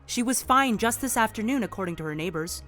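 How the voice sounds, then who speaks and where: A woman's voice: a little high, animated, quick pace, fairly bright tone, soft background music. A woman playing an earnest, uneasy investigator in an audio drama, speaking in an insistent, questioning way. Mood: insistent disbelief.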